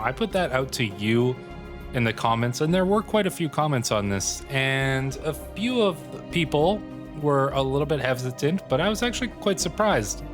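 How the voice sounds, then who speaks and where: A man from Canada with a bad cold, giving casual, musing commentary as host of a strategy game roleplay video. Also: medium pitch. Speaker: YouTube gaming host. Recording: loud music under the voice. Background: music.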